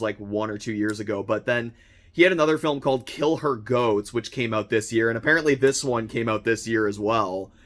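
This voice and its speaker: A young man with a slightly hoarse, worn voice after being sick talks straight to his viewers. He rambles eagerly through an unboxing, full of fillers and restarts, and he keeps getting excited about what he pulls out. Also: mid-range voice. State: slightly hoarse, recovering from illness. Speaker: vlogger unboxing a film subscription box. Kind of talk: casual unboxing chat.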